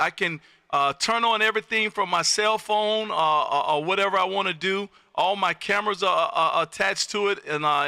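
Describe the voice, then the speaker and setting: A man with a high, very bright and forceful voice hosts a ham radio livestream. He chats casually in a loud, folksy way, recorded close and dry. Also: creaky, dry recording. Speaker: amateur radio operator and livestream host. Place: home radio room.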